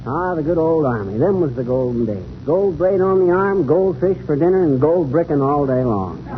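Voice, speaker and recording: An actor playing a cocky retired army major who spins tall tales, in folksy American 1930s radio comedy style. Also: male voice, fairly high pitch, expressive, moderate pace, lightly acted. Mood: breezy, cheeky. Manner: folksy, boastful, ungrammatical wisecracking. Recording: noisy, tinny 1930s radio recording.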